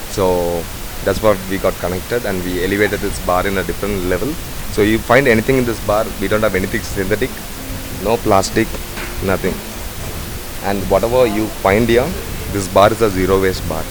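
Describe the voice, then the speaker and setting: A man's voice: deep voice, steady tone, fairly quick. A man, a head bartender talking in a rambling way with many fillers. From Sri Lanka. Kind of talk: casual interview. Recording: noisy bar ambience.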